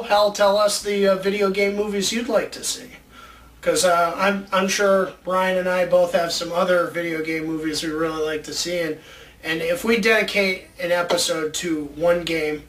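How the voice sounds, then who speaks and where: High-voiced man chatting in an echoey room. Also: fairly bright tone. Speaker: co-host of a video show about movie ideas. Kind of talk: casual chat. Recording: roomy, distant mic with background noise.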